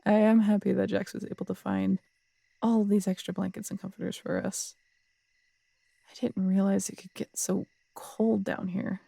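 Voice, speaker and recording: A woman's voice: medium pitch, level tone, normal speed, gravelly, dark tone, breathy edge, dry recording. A woman playing a feisty, teasing, proud police detective in an intimate roleplay audio drama. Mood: warm, relaxed. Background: crickets at night.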